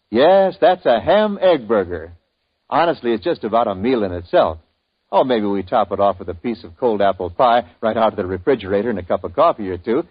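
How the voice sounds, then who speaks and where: A man hosting a 1950s radio show, with a folksy, husky announcer voice. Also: medium pitch. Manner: folksy, lively announcer delivery. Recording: dull old radio broadcast.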